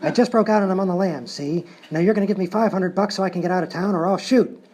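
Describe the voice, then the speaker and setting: A man's voice: high-pitched, fast, darkish tone, close to an everyday voice. A man playing a tough, impatient escaped gangster who talks in old-time crook slang in a live radio comedy sketch. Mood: menacing, impatient. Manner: 1930s gangster slang, threatening. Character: menacing escaped male gangster, big, impatient tough guy.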